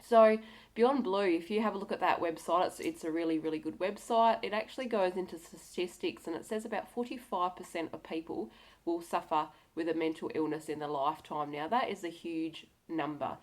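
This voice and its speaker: Woman, fairly low voice, nasal from a cold, earnest, encouraging, candid vlog talk. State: has a cold. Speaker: video blogger. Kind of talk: candid personal talk to camera.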